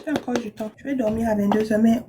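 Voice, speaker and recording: Brief: teasing woman host of a live call-in, bright high-ish voice, faint hiss behind. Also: steady tone, fast talker. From USA (Brooklyn, New York). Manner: chatty, teasing, laughs often. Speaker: live stream host giving visa advice. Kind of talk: casual live call-in chat.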